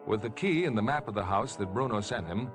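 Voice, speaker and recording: A man narrating a 1950s radio suspense drama in a solemn, measured, portentous manner. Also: on the low side, lively intonation, natural voice. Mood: ominous. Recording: vintage radio broadcast over orchestral music.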